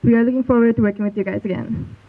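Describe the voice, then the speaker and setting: A woman's voice: fairly high pitch, very fast. A young woman from Malaysia presenting a school project earnestly and steadily. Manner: earnest, steady recitation from notes. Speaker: student presenter. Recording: tinny phone-like sound.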